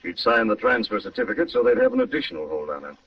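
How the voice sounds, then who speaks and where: A man acting a shrewd, composed gentleman detective in an old radio drama. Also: on the low side, wildly swooping pitch, quick pace, vocal fry, exaggerated voice. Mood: explanatory, assured. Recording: muffled old radio recording, distant and roomy.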